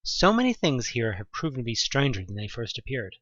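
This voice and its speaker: A man narrating a horror audio play in the second person, with an expressive, suspenseful delivery. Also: mid-range voice, fairly quick, no room sound, muffled recording.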